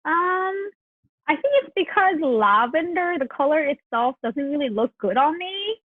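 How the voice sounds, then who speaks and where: High-voiced young Korean-accented woman, phone-like sound. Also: animated, measured pace, dry recording. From South Korea. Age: 20s. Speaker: podcast guest. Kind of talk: casual chat. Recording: tinny, phone-like line, dry.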